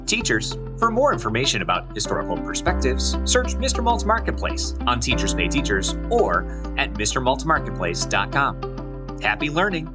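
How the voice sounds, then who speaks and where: A man hosting and narrating a scripted children's story in an expressive storytelling manner. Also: medium pitch, normal speed, over loud music. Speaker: host and narrator.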